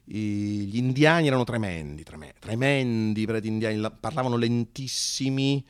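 A man with a Roman accent telling an autobiographical story as a theatrical monologue, colloquial and animated, with hesitations. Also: lowish voice, moderate pace, clear, resonant tone, no echo, clean studio sound. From Italy. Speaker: actor and storyteller.

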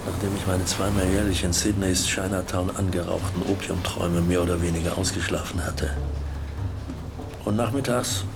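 A man's voice: low-pitched, steady tone, moderate pace, vocal fry, music in the background. A man playing a weathered, protective ship captain who tells his story reflectively in a radio play. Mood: wry recollection. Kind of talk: first-person dramatic monologue in a radio play.

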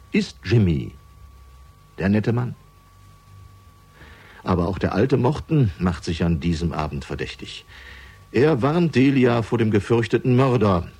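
A man reading scripted narration for a crime radio drama, measured and dramatic, with deliberate pauses. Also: lowish voice, a little mellow, husky.

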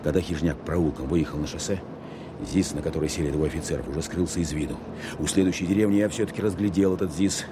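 Army captain played by a man, bass register, rapid matter-of-fact radio-drama narration, vehicle noise. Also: steady tone, lightly acted. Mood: focused, tense. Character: businesslike army captain recounting a car pursuit. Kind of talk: first-person radio play narration. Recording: clear vehicle and road noise behind.

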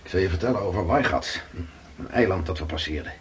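An actor playing a Dutch sailor slowly recounting his voyage in a radio play. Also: low voice, expressive, slight background noise. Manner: slow, vivid recounting. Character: weathered Dutch sailor telling voyage tales.

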